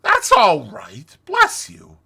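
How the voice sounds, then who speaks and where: Man as storybook water rat, high-pitched, loud and hearty, very animated, kindly, drawn-out emphasis. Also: vocal fry, exaggerated voice. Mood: cheerful, reassuring. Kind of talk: dramatized storybook reading.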